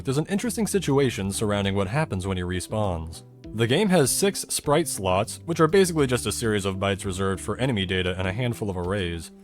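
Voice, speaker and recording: A man delivering scripted explainer narration as the host of a video game breakdown, methodical and dryly humorous. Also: on the low side.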